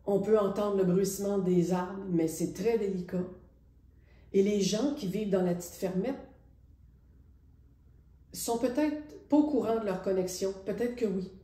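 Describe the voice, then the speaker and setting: A woman's voice: mid-range voice, warm tone. Reflective Quebec French woman painter, roomy sound. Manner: reflective, hesitant, with many pauses. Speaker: painter presenting her artwork. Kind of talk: casual spoken art presentation. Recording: roomy echo.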